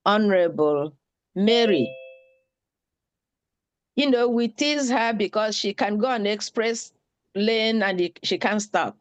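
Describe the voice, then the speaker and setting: A woman makes an earnest, pleading appeal at an online town hall. She repeats her points for emphasis. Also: mid-range voice.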